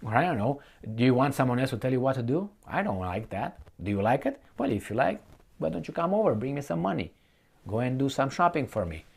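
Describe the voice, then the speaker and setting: Man, mid-pitched voice, opinionated unscripted monologue, halting delivery, repetitive, colloquial, rhetorical questions. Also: sing-song, gravelly, darkish tone, husky. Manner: colloquial, blunt. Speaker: political commentator.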